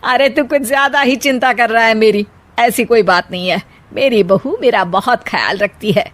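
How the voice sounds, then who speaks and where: A woman voicing a kind but shrewd middle-aged mother-in-law in an animated comedy story, talking in a homely, elder-sister way. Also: fairly high pitch, moderate pace, close to an everyday voice. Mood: reassuring.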